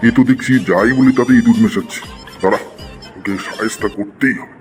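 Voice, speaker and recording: A man's voice: fairly low pitch, fast talker, faint music behind, natural voice. A man voicing an exasperated, threatening schoolteacher in a comedy cartoon, with a scolding, melodramatic delivery. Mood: determined.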